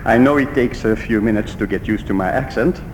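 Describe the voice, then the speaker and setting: Man, Belgian Dutch accent, middle-aged, measured church talk, lowish voice, long hall reverb. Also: darkish tone. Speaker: church speaker. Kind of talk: prepared talk to a congregation. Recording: roomy hall with faint rumble.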